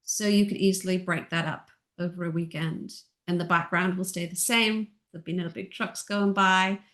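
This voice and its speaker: Chatty Irish woman, softened accent. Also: on the low side, fairly even intonation, moderate pace. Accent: Irish, softened by years in Texas. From Ireland. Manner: chatty, reflective, gentle. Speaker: guest, author and audiobook narrator. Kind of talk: casual interview chat.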